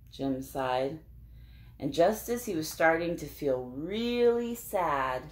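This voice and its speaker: A woman reads a storybook aloud for young children. Her voice sits a little low but moves up and down a lot, at a slow, expressive, conversational pace with asides to the listeners. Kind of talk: animated picture-book read-aloud with asides.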